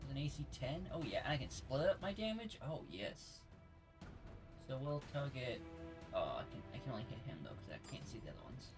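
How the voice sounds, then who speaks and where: A man's voice: mid-range voice, expressive, fairly quick, over music. A man doing casual let's play commentary, muttering through his decisions as he plays.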